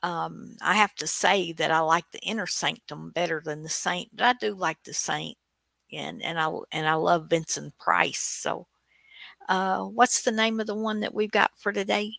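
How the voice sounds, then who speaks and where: A woman's voice: fairly low pitch, steady tone, moderate pace, gravelly, bright, forceful tone, no room sound. A woman chatting casually as co-host of a radio show. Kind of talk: casual chat.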